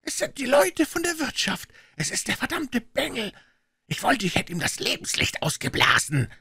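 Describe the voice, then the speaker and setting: A man voicing a furious blind pirate leader in an audiobook, shouting orders and cursing his crew with scorn. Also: very high-pitched, huge pitch swings, normal speed, vocal fry, slightly rough, no echo, clean studio sound, voice pushed up, exaggerated voice. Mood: cursing, bitter. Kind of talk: dramatic audiobook character reading.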